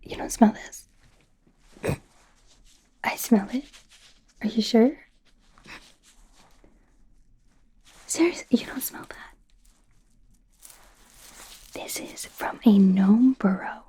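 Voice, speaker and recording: A woman voicing a young werewolf medical student in an ASMR roleplay, in a soft, breathy whisper with a hesitant, rambling, self-conscious manner. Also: medium pitch, slow, pauses often. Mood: eager, fascinated. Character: young female werewolf medical student, awkward, eager, kind.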